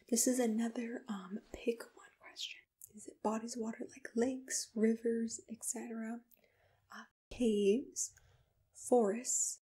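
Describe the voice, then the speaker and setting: A woman softly whispering in a gentle, reassuring, patient way, asking questions as a matchmaker in an ASMR roleplay, close to the microphone. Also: on the high side. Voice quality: soft breathy whisper. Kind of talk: ASMR personal-attention roleplay, unscripted interview questions.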